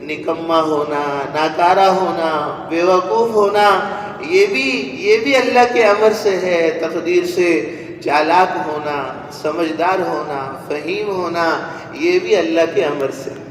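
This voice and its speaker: A man delivers a religious sermon at a very unhurried pace, in a somewhat high voice. He speaks deliberately, pausing and repeating phrases for effect. The sound is distant and reverberant, as in a large hall. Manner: deliberate, with rhetorical repetition and pauses. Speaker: preacher. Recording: echoey hall from far off, noisy.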